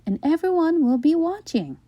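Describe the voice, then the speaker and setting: A woman voicing an anxious, careful little schoolgirl in an expressive storybook read-aloud. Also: extremely high pitch, moderate pace, dry recording, lo-fi sound, voice pushed up. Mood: worried.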